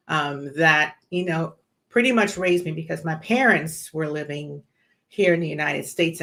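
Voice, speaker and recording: This Trinidadian-accented woman speaks in a lowish voice and shares memories in a relaxed, conversational way. She fills her pauses with soft ums and "you know." Her pace is unhurried and personal. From Trinidad and Tobago. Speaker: guest. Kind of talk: casual personal storytelling.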